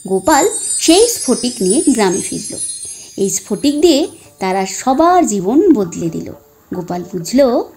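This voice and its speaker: Woman, storyteller, expressive scripted narration, fairly high voice, faint background music.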